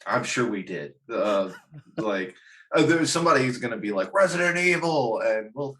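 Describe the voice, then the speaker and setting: A man with a bright voice and lively, swinging intonation hosts a casual conversation. He is jokey and good-humored, with an average male pitch. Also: normal speed. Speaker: host. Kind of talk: casual chat.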